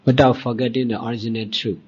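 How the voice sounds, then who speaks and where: A man teaching as a Buddhist monk, leading a guided meditation in deliberate short phrases with long pauses. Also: mid-range voice, level tone, a little mellow, slightly rough. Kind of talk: guided meditation dhamma talk. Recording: dull, muffled lo-fi recording.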